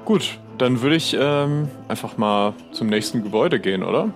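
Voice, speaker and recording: Man, medium pitch, casual role-play dialogue, questioning and tentative, light background music. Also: animated, moderate pace. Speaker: player in an audio role-play. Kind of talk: casual improvised in-character dialogue.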